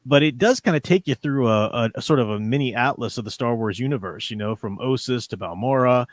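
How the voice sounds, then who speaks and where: Man, forties, medium pitch, dry close sound, casual rambling fan talk, livestream host. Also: fairly quick, dry recording. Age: 40s. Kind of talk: casual fan discussion of comics and audio dramas.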